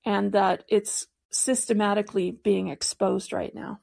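A woman hosts a live political discussion with a creaky, gravelly edge to her voice. Her pitch sits in an ordinary range, and she talks earnestly and insistently, pressing her points. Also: fairly even intonation, a little slow, a little mellow. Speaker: podcast host. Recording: live call-in app audio.

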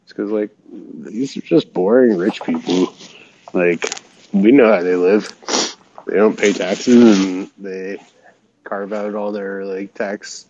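A man's voice: sits low, steady tone, takes it slowly, highs cut off, background noise. A man calling in to a podcast from outdoors in the cold, sniffly, polite and hesitant, full of fillers. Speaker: caller. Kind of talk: casual phone-in question.

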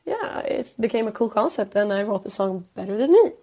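A woman's voice: medium pitch, big pitch swings, a little fast, mellow, lo-fi sound. Tired Swedish-accented young woman, hesitant. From Sweden. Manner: hesitant, many fillers, frequent laughs. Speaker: pop singer guest. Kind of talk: casual call-in interview. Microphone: phone call-in.

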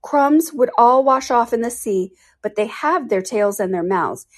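A woman reading a storybook as a mournful, excitable male turtle creature with a solemn, emphatic delivery. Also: a little high, unhurried, pitched above the performer's own voice. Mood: earnest.

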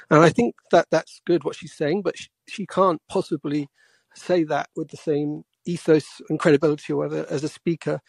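A man in his forties from England, a quiet-voiced caller talking casually and haltingly about politics. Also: fairly high pitch, gravelly, breathy edge, no room sound. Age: 40s. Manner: hesitant, halting.

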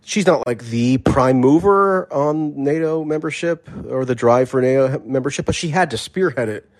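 A man's voice: mid-range voice, moderate pace. A man hosting a call-in podcast, careful and qualifying what he says, with frequent restarts. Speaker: podcast host. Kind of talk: casual chat.

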